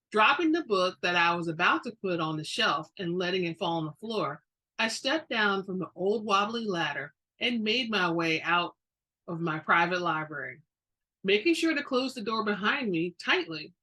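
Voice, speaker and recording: A woman reading a book aloud with dramatic flair, expressive and emphatic in her delivery. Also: medium pitch. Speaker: book reader.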